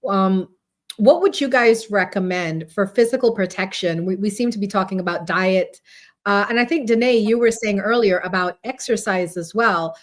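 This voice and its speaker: Woman host from guyana, medium pitched voice, casual live panel chat. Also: normal speed. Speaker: host of an online panel talk. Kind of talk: casual live group discussion.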